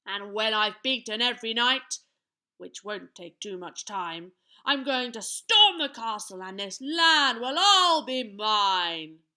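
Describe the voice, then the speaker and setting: A woman voicing a wicked, boastful giant man in a children's storybook, booming, slow and taunting. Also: animated, pushed and bright, exaggerated voice. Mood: menacing boast.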